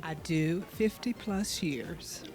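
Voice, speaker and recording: Older lady nurse talking real slow, faint music behind. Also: on the low side. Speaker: nurse, interview guest. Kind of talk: short interview answers.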